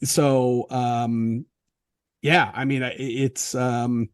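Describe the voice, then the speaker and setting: A man in his forties hosts a podcast, chatting and asking curious questions in a mid-pitched voice. His sound is dry and close, with no room around it. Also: level tone, very slow, smooth, no room sound. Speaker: podcast host. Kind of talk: casual interview on a video call. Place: home, remote video call. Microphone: video call microphone.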